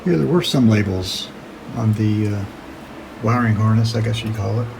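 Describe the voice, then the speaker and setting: A man with a gravelly voice narrating a casual hands-on video, hesitantly thinking aloud as he works. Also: on the low side, normal speed, background noise. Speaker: hobbyist radio restorer narrating own video.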